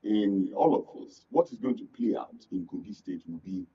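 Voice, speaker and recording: A Nigerian man speaking as a guest in a political panel discussion, halting and repeating words for emphasis. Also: sits low, lively intonation, husky. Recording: dull, slightly distant studio sound.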